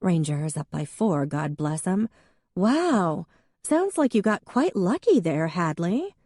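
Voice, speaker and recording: A woman reading an audiobook, voicing a sarcastic young woman with a dry, deadpan wit. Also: fairly low pitch, takes it slowly, no echo, full character voice.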